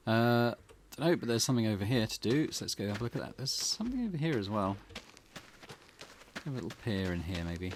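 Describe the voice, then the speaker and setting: A man's voice: fairly low pitch, big pitch swings, a little fast, a little hiss or hum. A man hosting a gaming video with casual commentary, thinking aloud and muttering reactions as he plays. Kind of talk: casual let's-play commentary.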